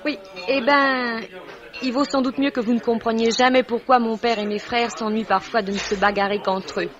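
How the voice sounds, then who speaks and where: An actress playing a brisk, sassy, hot-tempered young waitress, deadpan and quick-witted, in the style of 1950s French radio. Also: female voice, fairly high pitch, lightly acted. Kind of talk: 1950s French radio comedy-drama acting. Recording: muffled vintage radio, background restaurant bustle.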